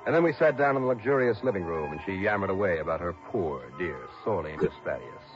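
An actor playing a dogged, wry insurance investigator in a mid-century radio detective drama, clipped and world-weary. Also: male voice, deep voice, lively intonation, fast, over music. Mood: wry, narrating. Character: dogged, wry male insurance investigator narrating his case. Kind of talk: 1950s-60s radio detective drama acting. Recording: muffled old radio broadcast recording.

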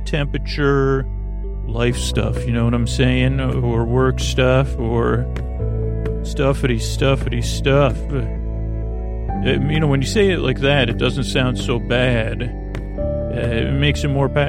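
Guy with a gravelly creaky voice doing a rambly bedtime podcast, loses his thread a lot, music behind him. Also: mid-range voice. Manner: meandering, halting, digressive, absent-minded. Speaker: podcast host. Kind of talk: rambling, soothing bedtime monologue.

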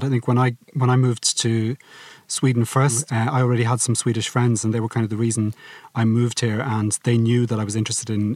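A man hosting a podcast, telling relaxed anecdotes in a casual chat. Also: mid-range voice, no echo. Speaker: podcast host.

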